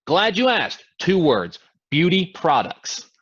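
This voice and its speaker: An actor playing a cocky, domineering young man who brags about his riches in a staged play reading, with a husky voice. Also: fairly high pitch, sing-song, takes it slowly, fairly bright tone, dull audio, full character voice. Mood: smug. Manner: boastful, demanding. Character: cocky, domineering twenty-five-year-old man bragging of riches.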